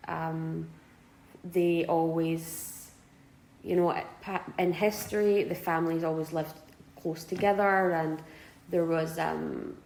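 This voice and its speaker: A woman's voice: low voice, fairly even intonation, takes it slowly, creaky, a little mellow, echoey room, a little hiss or hum, poor audio. A Scottish woman in her twenties answering interview questions casually, often hesitating with fillers and restarts. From Scotland. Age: 20s.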